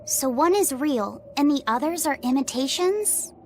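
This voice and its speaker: Casting: polite young boy for a game, high voice, slow and hesitant, childlike curiosity. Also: expressive, a little hiss or hum. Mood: curious, earnest. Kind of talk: English video game dub acting.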